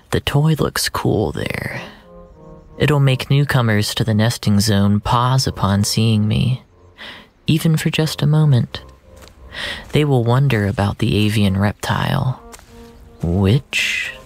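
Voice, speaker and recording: A man narrating first-person fiction in a deliberate, brooding, dramatic way, drawing out his phrases. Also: mid-range voice. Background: music.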